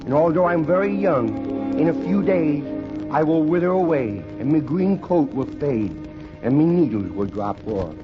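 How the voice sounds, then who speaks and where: A man's voice: on the high side, lively intonation, moderate pace, lightly acted. A man playing a confused, earnest young Christmas tree in a theatrical, questioning 1950s radio monologue. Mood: confused, wistful. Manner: childlike, theatrical, questioning delivery. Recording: dull old radio recording, music behind.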